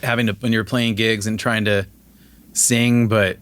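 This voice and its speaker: A man's voice: lowish voice, little pitch movement, measured pace, fairly bright tone, clean tone. A man hosting a casual conversation as a musician, talking candidly and self-deprecatingly while he searches for words. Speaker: host musician.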